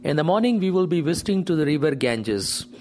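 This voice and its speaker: A man's voice: a little high, sing-song, a little fast, a little mellow, a little hiss or hum. A man, a tour director explaining the day's plans on location. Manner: explanatory, slightly nonstandard grammar. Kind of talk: on-location conversation.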